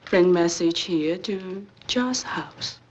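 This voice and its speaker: A breathy, rather low female voice plays a cool woman who speaks for a crime lord. Her is clipped and formal. She delivers it very slowly and sternly, as in an old film drama. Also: lively intonation, dull audio. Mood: grave, guarded. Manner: clipped broken English, measured, formal.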